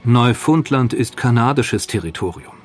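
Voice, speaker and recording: A man narrating a history documentary in a steady, matter-of-fact way. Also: mid-range voice, moderate pace, faint music behind.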